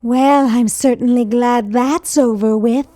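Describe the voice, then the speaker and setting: An American woman voicing a gloomy, sardonic young oddball in a cartoon adventure game, with a dry, deadpan manner. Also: a little high, unhurried, dry recording, close to an everyday voice.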